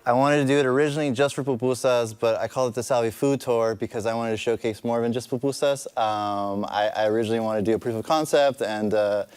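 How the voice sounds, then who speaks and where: A man's voice: mid-range voice, fairly even intonation, fast, few pauses, a little mellow, clear, resonant tone. A young man from the Bay Area, a filmmaker speaking as a guest on a live panel in an easygoing, enthusiastic, loosely worded way. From San Francisco Bay Area.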